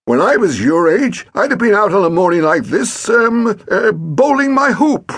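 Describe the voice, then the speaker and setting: A man voicing a fussy, irritable elderly gentleman who grows flustered. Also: high-pitched, sing-song, moderate pace, slightly rough, voice pushed up, heavily acted. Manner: peevish, flustered.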